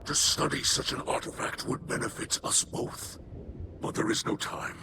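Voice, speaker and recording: An actor playing a gracious, weary alien refugee leader who speaks formally in a breathy rasp. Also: male voice, very noisy, close to an everyday voice. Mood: urgent, grave. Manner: formal, measured.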